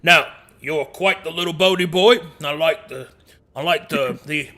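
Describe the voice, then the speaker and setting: A man voicing a folksy bright-voiced poison demon. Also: fairly high pitch, unhurried. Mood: bargaining. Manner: rambling, folksy, old-fashioned turns of speech. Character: male poison-brewing demon, gruff and folksy. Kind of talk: tabletop roleplay in character.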